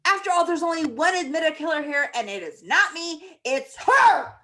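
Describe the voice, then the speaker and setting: Woman as indignant colonel, comedy table read, forceful, very animated, high voice. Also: moderate pace. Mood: accusing. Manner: forceful, interrogating. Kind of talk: live table read of comedy film script.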